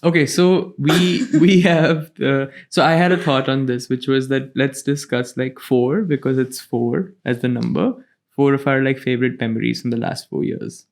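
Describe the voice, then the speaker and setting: Brief: young male co-host, casual rambling chat, mid-pitched, teasing. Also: little pitch movement, normal speed, creaky. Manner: rambling, restarts phrases, teasing. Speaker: podcast co-host.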